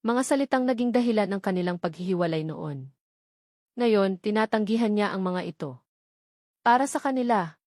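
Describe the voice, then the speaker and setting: A woman's voice: mid-range voice, darkish tone, no room sound, studio quality. A woman with a Filipino accent narrating a scripted romance story as an audiobook.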